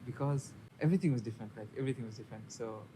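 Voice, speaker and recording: A man in his twenties who grew up in Saudi Arabia, talking as a podcast guest in an even, measured way with many fillers. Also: mid-range voice, poor audio. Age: 20s. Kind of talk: casual interview storytelling. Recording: noticeable background hiss.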